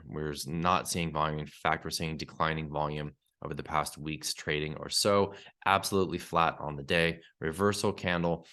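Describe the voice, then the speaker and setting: A man hosting a solo market update podcast, talking through prices and charts in a steady analytical way with some fillers, his voice congested from a cold. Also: a bass voice. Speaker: podcast host and newsletter publisher.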